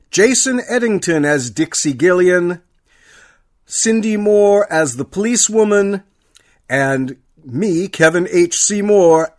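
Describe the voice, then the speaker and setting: High-pitched man announcing radio credits.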